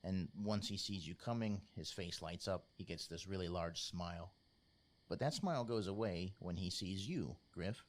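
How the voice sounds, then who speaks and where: A man narrating a tabletop role-playing game as game master, in a measured, informal, descriptive storytelling style. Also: low-pitched. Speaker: game master, podcast host.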